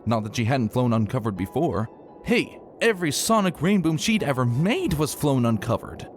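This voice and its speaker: A man's voice: medium pitch, moderate pace. A man giving a dramatic, theatrical reading of a scripted story as narrator. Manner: expressive, theatrical delivery. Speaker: narrator reading fan fiction aloud. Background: music.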